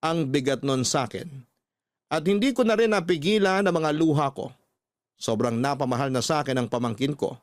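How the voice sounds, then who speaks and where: A man's voice: fairly high pitch, fairly quick, no echo, clean studio sound. A man hosting a radio show, reading a listener's letter as a heartfelt, sentimental story. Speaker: radio host narrator.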